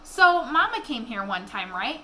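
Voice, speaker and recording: A woman's voice: a little high, sing-song, a little slow, pushed and bright, slight background noise, heavily acted. A woman voicing an earnest, hurt young child in a storybook read-aloud, with insistent, plaintive questions. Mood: probing.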